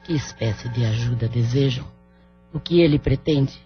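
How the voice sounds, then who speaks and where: An actor playing an elderly, businesslike woman spiritual worker in an audio drama, speaking in a measured, formal way. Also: medium pitch, lightly acted. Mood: guarded, assured. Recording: dull lo-fi audio with music underneath.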